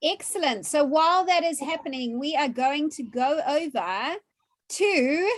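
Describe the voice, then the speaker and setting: A woman's voice: sits high, expressive, measured pace. A woman, hosting a virtual cooking class from her home kitchen, chatty and upbeat as she questions a child.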